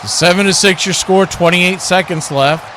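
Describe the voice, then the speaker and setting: An American man giving live play-by-play football commentary in a folksy, animated way, full of homespun exclamations and bursting into loud calls. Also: sits high, moderate pace, a little hiss or hum. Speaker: play-by-play sports commentator on a radio watch party.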